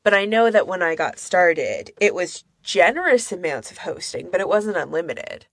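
A woman's voice: on the low side, big pitch swings, normal speed, no echo. A woman co-hosting a podcast in casual discussion, talking tentatively and carefully qualifying what she says. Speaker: podcast co-host.

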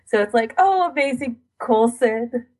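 A woman's voice: fairly high pitch, lively intonation, a little slow, darkish tone. A woman co-hosting a podcast in casual, informal chat, sprinkling her talk with "like". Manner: informal, frequent "like" as filler. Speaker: podcast co-host.

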